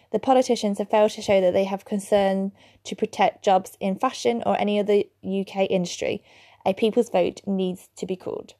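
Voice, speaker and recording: A woman hosting a solo podcast, chatty and quick to laugh at her own slips over hard words. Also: mid-range voice, warm tone. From England. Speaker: podcast host.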